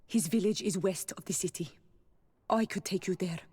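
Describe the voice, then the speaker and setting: An actress playing a proud, helpful island princess in a video game, speaking in a solemn and measured way. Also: female voice, on the low side, darkish tone, husky. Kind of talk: dramatic video game acting. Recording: long hall-like reverb.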